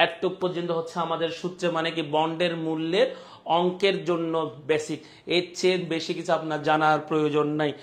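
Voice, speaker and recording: A man's voice: fairly high pitch, flat delivery, fairly quick. A man with a Bangladeshi accent teaching a live online class, repeating his points and often checking that students follow.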